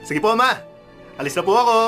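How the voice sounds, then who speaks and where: Wheedling young son, high voice, lively pitch swings, exaggerated, radio drama. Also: male voice, normal speed, soft background music. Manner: coaxing, exaggerated. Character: wheedling, spoiled young son, flattering and evasive. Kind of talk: Filipino radio drama acting.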